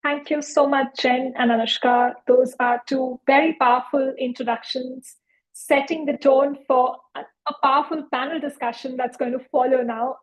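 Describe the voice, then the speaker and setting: A woman's voice: sits high, rough recording. A woman hosting a youth panel as moderator, speaking in an effusive, encouraging way.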